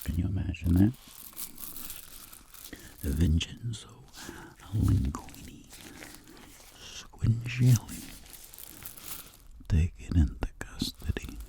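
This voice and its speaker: A man voicing a boastful, roguish tingle-dealing world traveler in a whispered ASMR roleplay, telling rambling deadpan tales in a breathy, gravelly voice. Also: low-pitched, slow pace, pauses often, a little hiss or hum, exaggerated voice. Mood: boastful, playful.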